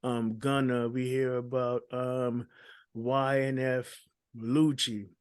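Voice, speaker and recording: Man, interview guest, hesitant, mid pitch, dry recording. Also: little pitch movement, takes it slowly, clear, resonant tone, no echo. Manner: hesitant, many ums and restarts. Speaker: dancehall artist guest. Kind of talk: casual interview talk.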